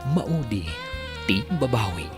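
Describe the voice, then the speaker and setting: Male radio drama narrator, Ilocano accent, lively swooping intonation, theatrical scripted delivery, prominent music behind. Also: medium pitch, slow. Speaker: announcer and narrator. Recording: loud music bed under voice.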